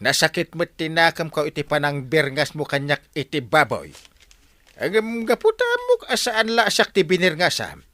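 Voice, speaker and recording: A Filipino man playing an excitable, scolding village captain full of drawn-out exclamations in a radio comedy. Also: fairly high pitch, no echo, exaggerated voice. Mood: indignant scolding. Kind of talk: broad radio comedy drama acting.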